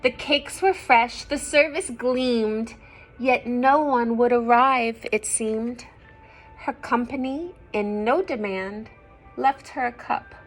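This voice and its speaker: A woman reading a children's storybook aloud expressively, pausing to explain simply and ask young listeners questions. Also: on the high side, low-quality recording.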